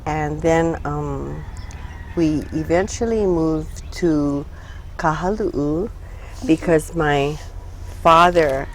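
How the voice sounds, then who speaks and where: An older woman from Hawaii speaks in a low, unhurried voice. She talks haltingly, with many pauses and fillers, as an interview guest recalling her past. Faint noise hums behind her. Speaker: interview guest, retired social worker. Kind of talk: casual reminiscing conversation.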